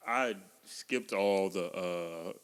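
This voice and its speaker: A man's voice: deep voice, extremely slow, a bit bright, clean tone. A man around thirty co-hosting a podcast, talking in loose slang with a joking manner. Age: 30s. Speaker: podcast co-host. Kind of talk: casual chat. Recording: long hall reverb.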